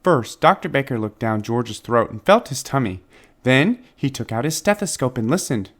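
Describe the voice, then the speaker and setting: Male narrator, children's storybook reading, medium pitch, highly varied intonation, deliberate expressive phrasing. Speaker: storybook reader.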